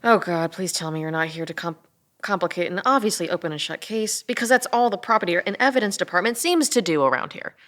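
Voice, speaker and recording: A woman's voice: fairly low pitch, quick pace, a bit bright, natural voice. A woman playing a tough, weary police officer in a dramatic table read, sardonic and insistent.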